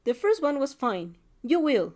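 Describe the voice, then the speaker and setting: A woman's voice: sits high, big pitch swings, a little slow, darkish tone, voice pushed up. A woman reading a story aloud, voicing a bubbly, fiercely supportive teenage girl in an exuberant, emphatic, teasing way. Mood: cheering, excited. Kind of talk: character dialogue in amateur story reading.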